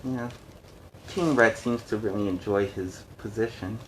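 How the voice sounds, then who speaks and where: A man's voice: fairly low pitch, measured pace, a little hiss or hum, poor audio. Male storyteller with conversational asides. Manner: conversational, explanatory. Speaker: storyteller, host. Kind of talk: storybook reading with casual asides.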